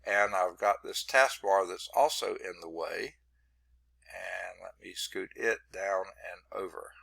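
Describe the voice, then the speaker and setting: A hoarse, congested man teaching a math class over a video call, narrating his screen setup in a rambling, self-deprecating way. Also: low-pitched, slow pace, fairly bright tone, no echo. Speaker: math instructor. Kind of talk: online class lecture. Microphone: computer mic on a video call.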